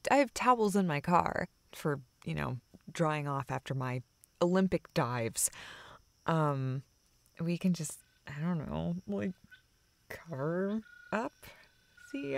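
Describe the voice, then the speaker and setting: Woman acting a playful, nervous young woman, lively pitch. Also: creaky, no room sound, exaggerated voice. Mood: flustered, playful. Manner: hesitant, stammering, giggly, teasing. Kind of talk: intimate romantic audio roleplay, naturalistic improvised-sounding dialogue.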